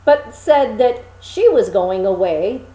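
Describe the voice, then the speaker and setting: A woman reading a fairy tale aloud as expressive storybook narration, with dramatic, deliberate phrasing. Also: mid-range voice, reverberant, poor audio.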